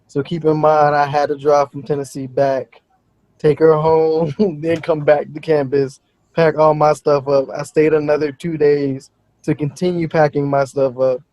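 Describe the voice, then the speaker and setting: A young man from Texas tells his story as a podcast guest in an animated, exasperated way. His voice is mellow and sits a little high, and it comes through as dry, dull call audio with no room sound. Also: measured pace, dry recording. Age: young adult. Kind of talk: casual storytelling.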